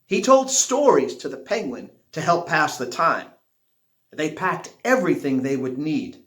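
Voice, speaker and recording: Slow, animated man reading a picture book. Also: a little high. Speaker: storybook reader. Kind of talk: expressive children's picture book read-aloud. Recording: echoey room.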